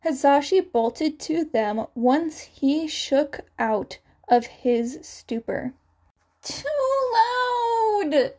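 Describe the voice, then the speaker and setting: A woman's voice: high voice, takes it slowly, hardly any pauses, warm tone. A woman reading scripted fan fiction as the narrator of a podfic.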